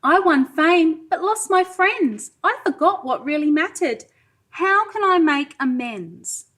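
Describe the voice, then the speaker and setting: High, regretful storybook fox, voiced by a woman. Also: animated, takes it slowly, fairly bright tone, heavily acted. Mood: regretful, earnest. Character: humbled male storybook fox, a regretful former star. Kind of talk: children's storybook character reading.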